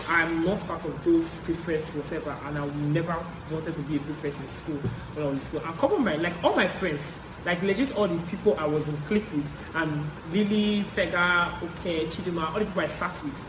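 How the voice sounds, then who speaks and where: A young adult man with a Nigerian accent tells stories casually, in an animated way, repeating phrases for emphasis as a vlogger. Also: fairly high pitch. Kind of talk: casual story-time chat. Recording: roomy echo, clear background noise.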